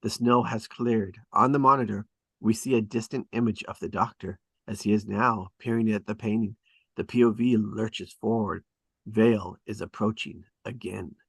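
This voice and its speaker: A man's voice: on the low side, steady tone, normal speed, vocal fry, a bit bright, breathy edge, no echo. A man reading stage directions at a drama table read, with emphatic, clipped and dramatic phrasing.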